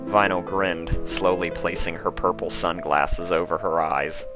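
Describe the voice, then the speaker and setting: A man's voice: low-pitched, fairly even intonation, measured pace, music in the background. A man reading a fan fiction story aloud as a scripted narrator.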